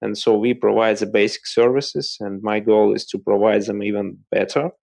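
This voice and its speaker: A man from Russia with a Russian accent, a company CEO answering interview questions in plain, slightly halting English. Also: fairly low pitch, little pitch movement, vocal fry, darkish tone. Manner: plain, slightly halting non-native phrasing. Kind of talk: casual interview chat.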